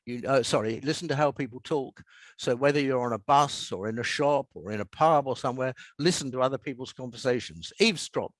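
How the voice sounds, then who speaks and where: A man's voice: mid-range voice, brisk, no room sound. Older English man, dry close recording. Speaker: author and radio scriptwriter being interviewed. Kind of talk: conversational anecdotes and explanation.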